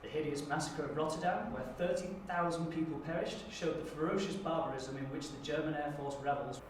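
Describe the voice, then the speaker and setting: A man's voice: fairly high pitch, fairly even intonation, brisk. Male auditionee delivering a formal dramatic reading in a heavily echoing, noisy room. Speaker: auditioning performer. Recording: very echoey room, distant mic, clear noise.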